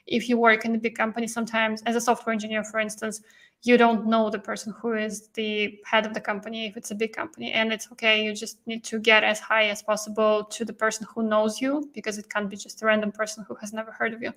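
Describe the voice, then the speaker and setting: A woman's voice: on the high side, bright, forceful tone. A woman hosting a live-stream interview, explaining things in a chatty way with many fillers, and losing her voice. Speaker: host and visa coach.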